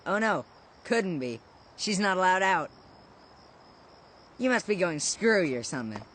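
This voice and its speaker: A woman voicing a brash, impatient young boy with a slangy, teasing manner in an animated game. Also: lowish voice, unhurried, a little hiss or hum. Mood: dismissive, teasing. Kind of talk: animated game cutscene acting.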